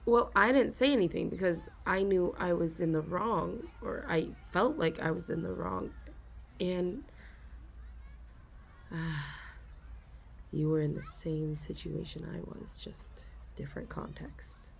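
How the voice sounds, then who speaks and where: A woman with a low voice that has a gravelly, fry-laced edge speaks slowly as a bashful office worker in a confiding ASMR role-play. She often stammers and starts over, and soft giggles break up her talk. A faint hiss of noise sits behind her. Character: shy, fond female office worker.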